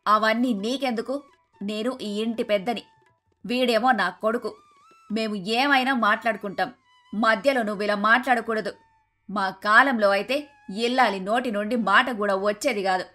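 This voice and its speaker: A woman playing a traditional, domineering and sharp-tongued older mother-in-law in a cartoon moral story. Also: a little high, normal speed. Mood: stern, scolding. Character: bossy, traditional, scolding older mother-in-law and household matriarch. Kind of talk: dramatised moral story dialogue. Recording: music behind the voice.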